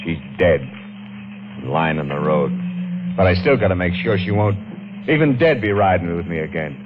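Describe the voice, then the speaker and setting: Deep-voiced haunted driver, noisy old radio. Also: male voice, lively intonation. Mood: grimly resolved. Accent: American. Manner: halting, stammering, muttering colloquial speech. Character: haunted, nervous, guilt-ridden male driver turned killer. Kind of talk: 1950s radio thriller acting, part narration. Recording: noisy, tinny 1950s radio recording.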